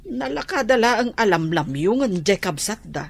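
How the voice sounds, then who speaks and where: A woman's voice: medium pitch, big pitch swings, moderate pace, vocal fry, slight background noise. An actress in an Ilocano radio drama playing a blunt, worried aunt who scolds as she talks, with an Ilocano accent.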